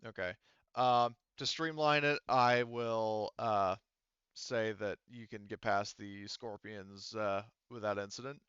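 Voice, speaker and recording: A man with a smooth, lowish voice works as game master at a tabletop game. He narrates haltingly, doubling back on his phrases, on a dry, slightly muffled mic. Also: fairly bright tone, no room sound. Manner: halting, hesitant, often trailing off and restarting.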